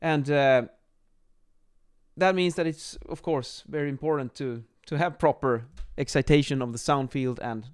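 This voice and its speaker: A man in his thirties explaining as an instructor in an informal lesson, a little halting as they think aloud, recorded on a close microphone in a small room. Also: mid-range voice, smooth. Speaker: acoustics instructor, presenter. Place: small home office, indoors. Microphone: microphone on boom arm, close.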